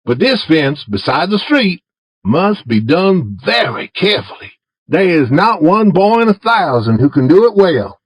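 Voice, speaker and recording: A man's voice: fairly high pitch, sing-song, unhurried, no room sound, pitched above the performer's own voice, full character voice, low-quality recording. A man voicing Tom, a sly coaxing boy.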